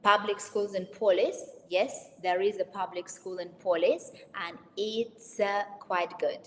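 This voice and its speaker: A woman's voice: steady tone, a little slow. A woman with a Russian accent answering audience questions as a real estate consultant hosting an online webinar, speaking informally and directly to her listeners. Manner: addresses listeners directly, reads questions aloud then answers. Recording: reverberant hall-like room, faint rumbling noise.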